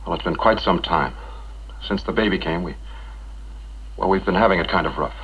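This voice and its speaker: A man's voice: deep voice, moderate pace, breathy edge. An actor playing a troubled, defensive young husband in a 1960s educational film, guarded and clipped in his manner. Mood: troubled, resigned. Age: young adult. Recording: dull 1960s film soundtrack.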